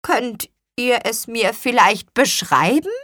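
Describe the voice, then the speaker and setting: Excitable, self-important male storybook creature, played by a woman: high, bright, blurting and repeating words. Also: takes it slowly, no room sound, clean studio sound. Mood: excited. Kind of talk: children's storybook character reading.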